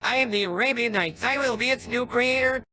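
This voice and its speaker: A megalomaniac djinn turned monster in a video game. The voice is bright and harshly forceful, shouting bombastic claims of power. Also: male voice, very high-pitched, brisk, dry recording, heavily acted. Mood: triumphant mania. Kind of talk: dubbed video game cutscene dialogue.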